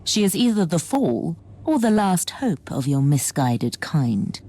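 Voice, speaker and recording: A woman playing a serene, superior alien harlequin in a video game, speaking slowly in condescending riddles. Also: lowish voice, sing-song, warm tone, full character voice. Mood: cryptic, grave. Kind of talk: dramatic video game acting.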